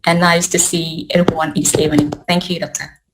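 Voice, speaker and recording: Low-voiced woman on a noisy call line. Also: level tone, brisk. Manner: formal, hesitant. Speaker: teacher trainer.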